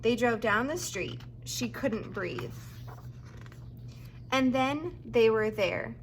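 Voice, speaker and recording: A woman reading a children's picture book aloud as a teacher would, with expressive narration. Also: on the high side, slow pace, a little hiss or hum.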